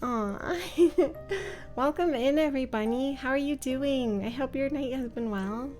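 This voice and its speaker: A woman's voice: sits high, measured pace, over music. A woman hosting a livestream in casual chat, sociable and cutesy as she talks to viewers. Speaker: livestreamer, VTuber host.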